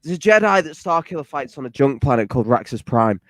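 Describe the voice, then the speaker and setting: A man's voice: mid-range voice, big pitch swings, fast, a bit bright. A young man, a guest in a casual livestream chat, rambling with jokey, self-deprecating asides.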